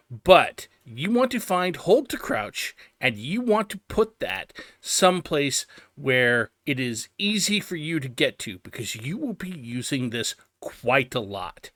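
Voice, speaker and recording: A man talks through a video game tutorial in a bright, forward voice. He explains step by step and leans on the important advice. The sound is close and very dry. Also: mid-range voice, dry recording. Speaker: gaming video host.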